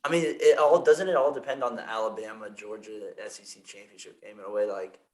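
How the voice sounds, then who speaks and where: A man's voice: fairly low pitch, steady tone, quick pace, rough recording. A man hosting a casual sports podcast, rambling with fillers and restarts. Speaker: podcast host.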